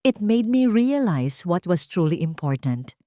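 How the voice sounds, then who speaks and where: A woman's voice: on the low side, very animated, a little slow, dark tone, no room sound, studio quality. A woman voicing a tender, remorseful billionaire father in a romantic audio drama. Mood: earnest, tender.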